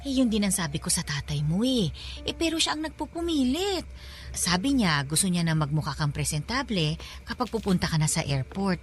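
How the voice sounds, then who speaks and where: A woman's voice: mid-range voice, big pitch swings, fairly quick, faint music behind, natural voice. A woman playing a loving, insistent middle-aged mother with a Filipino accent, coaxing her child in a radio drama. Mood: fond, insistent.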